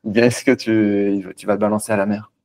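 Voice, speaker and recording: A man's voice: on the low side, little pitch movement, brisk, darkish tone. A man interviewing a guest, asking casual questions with stammers and repeated words.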